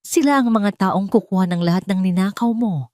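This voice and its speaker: A woman voicing a calm, steely betrayed wife with a composed, cutting manner in an audio story. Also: medium pitch, a little fast, no room sound, lightly acted. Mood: calm defiance.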